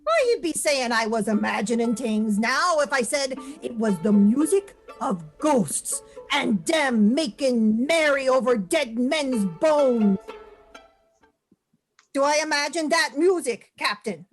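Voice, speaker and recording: Slow, high-voiced superstitious sailor. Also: female voice, animated, soft background music, heavily acted. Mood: indignant. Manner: slow, dramatic, ominous storytelling. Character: superstitious Irish sailor, doom-laden teller of sea lore. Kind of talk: radio play acting.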